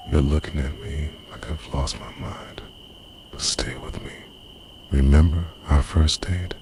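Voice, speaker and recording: A man playing an obsessive, devoted boyfriend in an ASMR roleplay, speaking in a hushed, intimate, breathy way that often slips into whispering. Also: a bass voice, full character voice. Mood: tender confessing. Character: obsessive, devoted boyfriend who secretly time-travels, confessing to lover.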